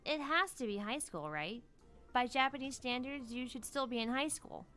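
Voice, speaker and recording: A woman with a high, bright voice reads game dialogue aloud. Her intonation swings in a lively, expressive way. Soft music sits behind her. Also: moderate pace. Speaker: let's play co-host voicing character dialogue. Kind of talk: expressive read-aloud of visual novel dialogue.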